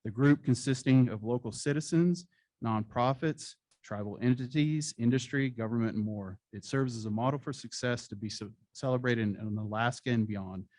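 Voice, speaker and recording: Male awards presenter at a podium, measured formal delivery, average pitch, dry close sound. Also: darkish tone, no echo. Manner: formal, measured reading with occasional slips. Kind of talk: reading award citations at a conference. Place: conference hall stage.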